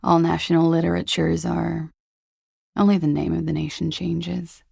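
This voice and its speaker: An actress playing a cool, world-weary young expatriate woman in a video game, speaking with dry, languid irony in a husky, gravelly voice. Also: fairly low pitch, level tone, moderate pace, mellow, no echo, exaggerated voice. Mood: detached, melancholic. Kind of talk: video game character dialogue.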